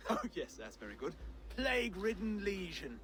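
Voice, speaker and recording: High, lively, excitable young Saxon noble. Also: male voice. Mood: gleeful. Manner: excitable, halting. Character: jovial, soft-natured, hesitant young Saxon nobleman. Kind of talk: video game cutscene acting.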